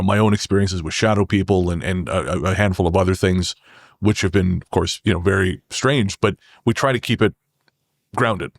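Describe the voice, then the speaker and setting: A man's voice: low voice, no echo, studio quality. A man speaking as a podcast guest, explaining things in a conversational interview style. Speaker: podcast guest, horror writer.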